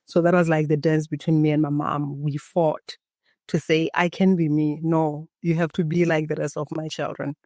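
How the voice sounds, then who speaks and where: A woman from Botswana, a Setswana native speaker, guesting on a podcast and telling her story in a calm, guiding way, as if leading a meditation. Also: low-pitched, normal speed, dry recording, studio quality. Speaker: guest, embodiment coach. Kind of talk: podcast interview, reflective storytelling.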